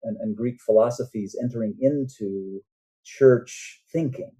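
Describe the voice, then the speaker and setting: A man's voice: mid-range voice, unhurried, dark tone, slightly rough. A man speaking as an author guest on a podcast, explaining things earnestly in a conversational way and often checking in with the listener.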